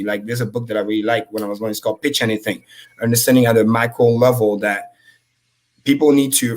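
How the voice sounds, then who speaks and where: A man whose first language is French, giving high-energy, profane motivational talk as a livestream co-host.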